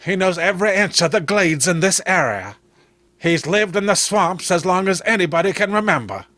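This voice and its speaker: A skeptical small-town sheriff from a horror comic, voiced by a male reader. He is commanding and brisk, quick to dismiss wild tales. The sound is bright and dry. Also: sits high, animated, dry recording. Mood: dismissive. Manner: dismissive, authoritative.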